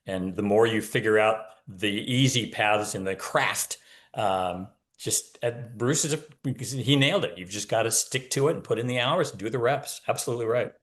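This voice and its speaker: A man's voice: lowish voice, moderate pace, a bit bright. A man talking casually as an author guest, wry and opinionated, telling anecdotes and giving advice.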